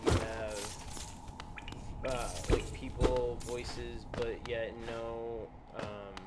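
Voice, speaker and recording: A young man with a shrill voice, chatting loosely as he plays a video game, rambling with lots of fillers and restarts into a USB microphone. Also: level tone, extremely slow. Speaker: gameplay commentator. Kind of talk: casual let's-play chat. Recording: loud game music behind.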